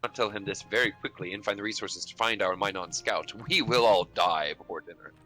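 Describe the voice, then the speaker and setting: A man plays a tabletop role-playing game with a fairly low voice. He improvises his actions and dialogue. Music plays behind him. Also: a little fast, sharp tone. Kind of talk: improvised in-character game play.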